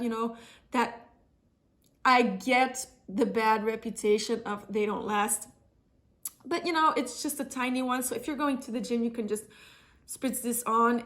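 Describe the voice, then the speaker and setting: A woman with a cold and a slightly nasal, blocked-up voice, chatting casually through a perfume review with lots of fillers and restarts. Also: a little high. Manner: chatty, many fillers and self-corrections. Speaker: fragrance vlogger.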